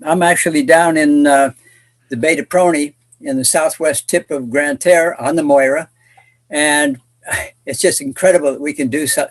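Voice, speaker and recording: A man, a cruising guide author, joining a webinar by video call from his boat, enthusiastic with small restarts. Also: fairly high pitch. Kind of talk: guest on a webinar.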